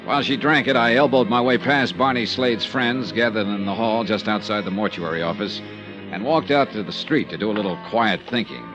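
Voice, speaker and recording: An American actor playing a brisk, probing insurance investigator in 1950s radio style, with clipped, hard-boiled delivery. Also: male voice, on the low side, lo-fi sound, natural voice. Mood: dry, narrating. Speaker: lead actor in detective radio serial. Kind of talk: 1950s radio acting and first-person narration. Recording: vintage radio recording with music.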